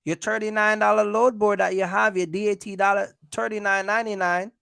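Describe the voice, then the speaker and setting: A man's voice: high voice, flat delivery, brisk, gravelly, bright, forceful tone, clean tone, dry recording, studio quality. A man hosting a casual livestream, giving business advice straight to viewers in an emphatic, repetitive way. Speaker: host of a trucking business livestream.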